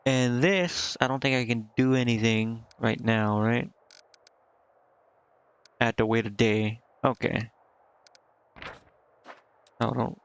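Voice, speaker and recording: A man's voice: mid-range voice, fairly even intonation, slow, gravelly, pauses often, a little hiss or hum. A man doing casual live game commentary, thinking aloud to himself in slangy, indecisive mutters. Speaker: game streamer. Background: game music.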